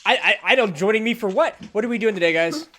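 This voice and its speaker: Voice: medium pitch, animated, fast, a bit bright. A dungeon master hosting a casual tabletop game stream, joking and self-deprecating, often stammering and restarting. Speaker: dungeon master and show host.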